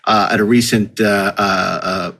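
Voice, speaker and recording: A man hosting a podcast in casual chat, with a halting delivery that often repeats words. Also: on the low side, fairly even intonation, gravelly, pushed and bright. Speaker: podcast host.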